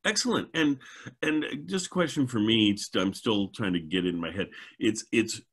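A man's voice: lowish voice, lively intonation, normal speed, a bit bright, breathy edge. A middle-aged man hosting a casual chat over a video call, enthusiastic and effusive. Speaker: show host. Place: indoors at home, video call.

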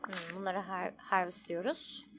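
A woman's voice: lowish voice, flat delivery, a little slow, background noise. A woman giving casual, informal let's play commentary, thinking aloud as she goes. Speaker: gaming streamer.

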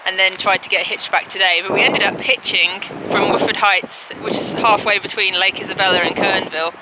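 A woman's voice: medium pitch, fairly even intonation, a little fast. A woman, telling a rambling, informal story in a casual hiking vlog recorded outdoors. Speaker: hiking vlogger. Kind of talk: casual vlog recap chat. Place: outdoors on a mountain desert trail. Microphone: handheld camera at arm's length. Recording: windy outdoor camera audio, loud noise. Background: loud wind noise.

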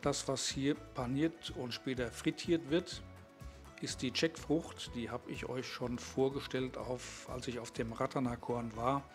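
A man's voice: medium pitch, steady tone, unhurried. Older man presenting, music behind. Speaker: vlogger. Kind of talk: vlog presenting and narration.

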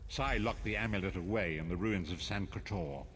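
An older man playing a grave, earnest prophet in a video game, measured and solemn. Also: low voice, slight background noise, lightly acted. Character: grave male prophet guide, calm and earnest. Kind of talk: scripted game dialogue.

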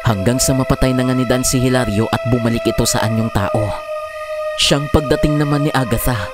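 Man narrating horror over loud music. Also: medium pitch, fairly quick. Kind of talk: dramatized horror story narration. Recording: loud music bed under the voice. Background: loud dramatic music.

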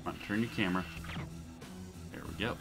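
A man's voice: fairly low pitch, fairly even intonation, a little fast. A man in his thirties streaming live gameplay commentary, swearing and venting frustration at the game. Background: game music.

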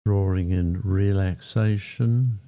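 A man guiding a meditation in a calm, unhurried manner, speaking in short phrases with long pauses between them. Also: deep voice. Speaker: meditation guide. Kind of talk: guided meditation narration. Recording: muffled, dull lo-fi sound. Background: background music.

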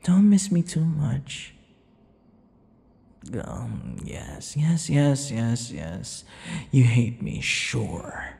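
A man playing a possessive, teasing vampire lover in an ASMR audio roleplay, smug and menacing, murmuring and slipping into breathy whispers. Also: sing-song, warm tone, big-room sound, exaggerated voice. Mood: mocking affection. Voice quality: low, gravelly. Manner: slow, breathy murmurs dropping into whispers, mocking endearments.

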